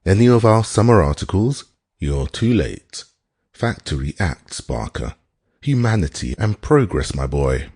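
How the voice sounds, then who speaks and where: A man's voice: low voice, sing-song, measured pace, darkish tone, slightly rough, voice pushed up, full character voice. A man voicing a cool, self-assured retired draper in a storybook, hearty and patronising. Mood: hearty, breezy.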